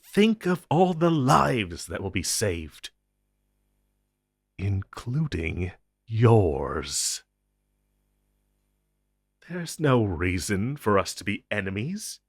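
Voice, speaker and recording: Man acting as a slow, husky, menacing ancient entity. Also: lowish voice, big pitch swings, no echo, clean studio sound, exaggerated voice. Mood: forceful coaxing. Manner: theatrical, possessive, condescending, deliberate. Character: ancient possessive telepathic hive-mind entity, menacing protector. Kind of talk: intense audio roleplay monologue to the listener.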